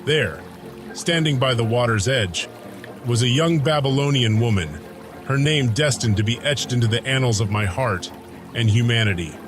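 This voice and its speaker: A man's voice: medium pitch, lively intonation, normal speed, music in the background. A man narrating a scripted audio drama in the first person, with a grandiose, florid storytelling manner. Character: ancient soldier turned paladin, lovestruck, reminiscing.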